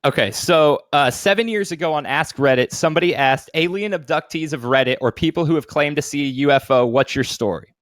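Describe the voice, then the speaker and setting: A man's voice: a little high, a little fast, no room sound. A man co-hosting a podcast, chatting casually and telling stories in an informal, sweary way. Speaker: podcast co-host. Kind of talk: casual chat and reading a story aloud.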